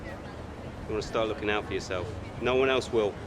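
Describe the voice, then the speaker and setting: A man's voice: on the low side, fast, background noise, natural voice. An actor playing a smug, sarcastic young racing driver who needles his rivals in a video game cutscene. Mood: mocking, goading.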